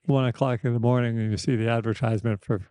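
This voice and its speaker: A middle-aged or older man speaking candidly and haltingly as a podcast host, with a soft, slightly weakened voice, a slight lisp and wry reflection. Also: medium pitch, fairly even intonation, clear, resonant tone, dry recording. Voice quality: slight lisp, thick-tongued articulation. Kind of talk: reflective personal conversation.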